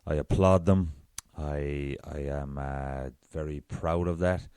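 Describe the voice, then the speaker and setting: An Irish man with a very deep voice hosting a solo podcast, chatty and self-deprecating. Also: steady tone, takes it slowly, a little mellow, smooth, no room sound, studio quality. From south of Ireland. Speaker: podcast host.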